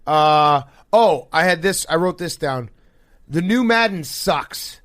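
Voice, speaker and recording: A man's voice: a little high, lively intonation, takes it slowly, a bit bright, dry recording, studio quality. A sleep-deprived man hosting a podcast with casual, blunt, slangy sports banter and joking. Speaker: podcast host.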